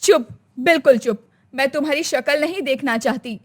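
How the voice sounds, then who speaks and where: A woman's voice: sits high, normal speed, lightly acted. A woman voicing a traditional older mother-in-law, stern and scolding but tender underneath.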